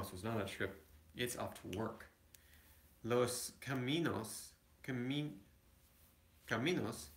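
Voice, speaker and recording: A man reading a children's picture book aloud as a father to his kids, a little halting, with casual asides. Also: medium pitch, a bit bright. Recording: echoey room, home recording.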